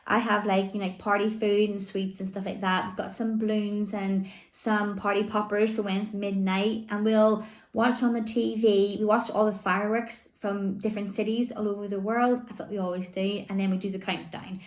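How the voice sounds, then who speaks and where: Chatty mum in an echoey room. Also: female voice, medium pitch, flat delivery, moderate pace. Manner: chatty, rambling, frequent "like" and "you know". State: recovering voice after laryngitis. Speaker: vlogger. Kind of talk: casual chat to camera. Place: home room.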